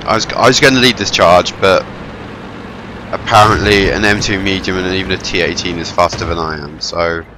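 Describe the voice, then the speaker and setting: A man's voice: deep voice, steady tone, brisk, noisy background. A young man with a stuffy head cold giving rambling, wry commentary over a tank game. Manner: rambling, wry, self-deprecating. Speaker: gameplay commentator. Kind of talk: casual gameplay commentary. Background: game sounds, gunfire and engines.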